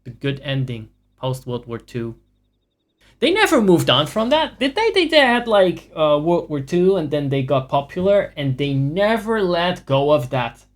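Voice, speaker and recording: A man's voice: on the high side, sing-song, a little slow. A man livestreaming a video game, giving casual commentary in a profane, sarcastic, ranting way. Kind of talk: casual live gameplay commentary.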